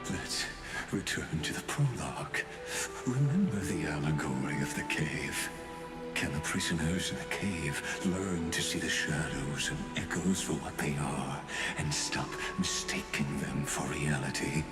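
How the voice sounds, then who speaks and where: A man playing an ancient imprisoned scholar in a game, a grandiose and ominous philosopher speaking with solemn, deliberate gravity. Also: rumbling low. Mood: grave, musing. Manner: solemn, slow, portentous, deliberate. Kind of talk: theatrical scripted game monologue. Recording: echoey, with loud music behind. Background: loud game music.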